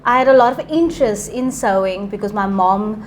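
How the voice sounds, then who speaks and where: A woman from Cape Town, answering interview questions gently, with frequent pauses. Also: medium pitch, slight background noise. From Cape Town, South Africa. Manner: soft-spoken, gentle, many "um" pauses. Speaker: fashion designer guest.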